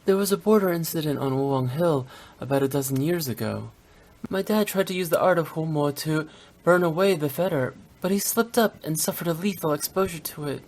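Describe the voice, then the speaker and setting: Low-pitched young funeral director girl. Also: lively intonation, fairly quick, a little mellow. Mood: subdued, matter-of-fact. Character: young female funeral director, playful yet blunt, unsentimental. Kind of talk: scripted English video game voice acting.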